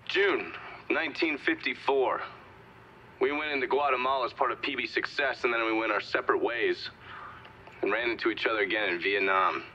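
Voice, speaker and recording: Male actor as scornful witness, forceful mid-range voice, wry and crude, dull lo-fi recording. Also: medium pitch, brisk, natural voice. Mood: defiant, scornful. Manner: wry, evasive, contemptuous. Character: defiant, foul-mouthed male ex-operative testifying. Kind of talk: testimony, game cutscene acting. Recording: dull lo-fi sound, faint noise.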